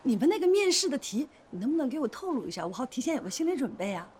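An actress playing a pushy, flirtatious shop owner in a screen drama, insistent, teasing and quick to take offence. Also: female voice, high-pitched, very animated, a little mellow, husky, full character voice. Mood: teasing, coaxing. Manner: insistent, teasing, indignant, theatrical. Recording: noticeable background noise and ambience.

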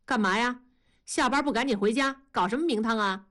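Lady doing a factory union boss in an audiobook, dodgy, rushed, brushing everyone off. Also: fairly high pitch, lightly acted. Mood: brisk. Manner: hushed, hurried, brushing people off. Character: evasive senior factory master and union chairman.

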